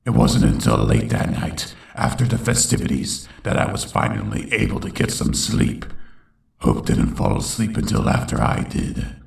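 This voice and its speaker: Guy doing deep gravelly unicorn villain, broody dramatic narration of his past, swings around a lot, roomy sound. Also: very animated, normal speed, distant mic, heavily acted. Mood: somber, brooding. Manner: solemn, dramatic storytelling. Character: brooding adult male unicorn villain recalling his tormented youth. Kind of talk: scripted first-person dramatic narration.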